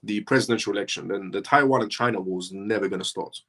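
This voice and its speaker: A man's voice: lowish voice, quick pace, fairly bright tone. A man originally from Iran, hosting a live show and talking through his points in a rambling way that circles back on itself. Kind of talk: casual livestream commentary.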